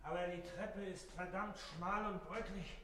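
Distant and echoey, with faint noise, a grumbling servant in a radio play. His voice sits fairly high, and he speaks in a plain colloquial way. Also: steady tone, a little slow. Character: grumbling male servant of a shopkeeper. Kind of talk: German radio drama acting.

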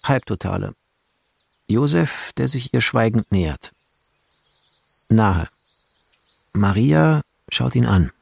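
Radio drama: intense male filmmaker, creaky and lively in pitch, reading screenplay shots and reflections. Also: slow, long pauses, heavily acted. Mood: focused, descriptive.

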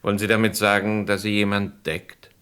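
A man's voice: on the low side, flat delivery, a little fast, fairly bright tone, clean tone, natural voice. An actor playing a composed senior police chief who challenges his subordinate in a radio crime drama. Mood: calm, questioning.

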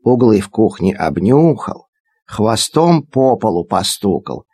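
A man reciting children's verse as a theatrical storyteller, expressive and dramatic. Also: on the low side, takes it slowly, breathy edge, no echo. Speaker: storyteller, performer. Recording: digitized cassette audio play. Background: music.

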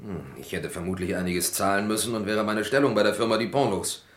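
An actor playing an accused lab worker in a vintage radio crime drama, formal, hesitant and protesting. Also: male voice, on the low side, a little fast, natural voice. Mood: defensive, explaining. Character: accused male lab worker, defensive and evasive. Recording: roomy old radio play recording.

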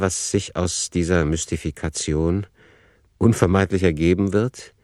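Deep-voiced deliberate literary author. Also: male voice, a little mellow, no echo, clean studio sound. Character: reflective male author musing over his novel. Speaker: narrating author in a radio play. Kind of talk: literary radio play narration.

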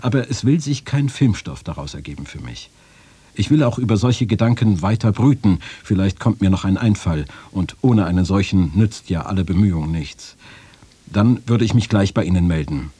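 A deferential male writer played by a man reads his formal letter for a radio documentary. His voice is mellow and husky, on the low side, and polite in its phrasing. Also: lively intonation, normal speed, dry recording. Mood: troubled, polite. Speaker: quote reader in a radio feature. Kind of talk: formal reading of historical letters.

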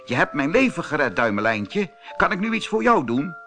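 A man voicing a grateful, gentle male swallow in a fairy tale, speaking earnestly. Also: fairly high pitch, big pitch swings, moderate pace, soft background music, voice pushed up, full character voice. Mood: grateful, eager.

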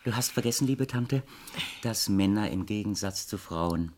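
A man's voice: on the low side, normal speed, darkish tone, a little hiss or hum, natural voice. An actor playing a wealthy, flippant bachelor in his thirties with urbane, mocking politeness, in a German radio play from around 1960. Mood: suavely teasing. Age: 30s. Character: wealthy, flippant bachelor nephew of thirty-eight, suavely evasive.

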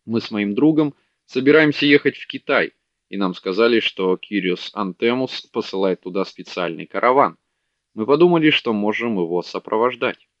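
A man's voice: fairly low pitch, lively intonation, moderate pace, no echo, natural voice. A man voicing an eager, clever young male scholar making a persuasive case, on a dull, dry audiobook recording. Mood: polite. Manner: earnest, persuasive.

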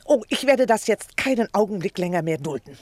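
An actress playing a big, nagging, self-pitying wife in a theatrical radio play, scolding and indignant. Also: female voice, mid-range voice, big pitch swings, a little fast, a little mellow, dry recording. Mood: scornful, scolding. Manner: scolding, indignant, dramatic complaining.

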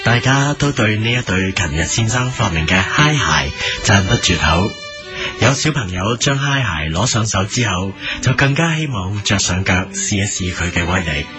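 A man's voice: lowish voice, animated, normal speed, natural voice. A man playing an earnest narrator of a comic mock documentary, with a Hong Kong Cantonese accent. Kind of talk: scripted narration. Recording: music under the voice.

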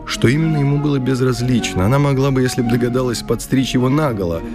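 A man's voice: medium pitch, quick pace. A man narrating a scripted radio play in a literary, dramatic style. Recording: music under the voice. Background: music.

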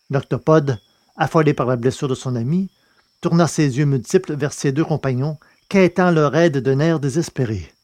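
Man reading a novel aloud, steady. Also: on the high side, no room sound. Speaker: audiobook narrator. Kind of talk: scripted narration of a science-fiction novel.

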